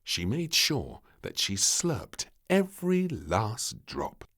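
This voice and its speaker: A male storybook narrator reading for children. He takes his time, telling the story dramatically with huge swings of pitch. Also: wildly swooping pitch, slow pace.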